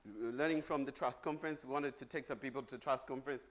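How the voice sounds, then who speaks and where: A man's voice: medium pitch, fast, a bit bright. Man from Zambia giving a conference talk. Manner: chatty, jokes with the audience. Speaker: conference speaker. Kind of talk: conference presentation. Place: conference stage.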